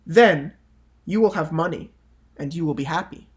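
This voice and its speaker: A man voicing a gentle, loving female tree in a picture-book read-aloud, coaxing and tender. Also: on the high side, normal speed, natural voice. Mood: kindly, giving.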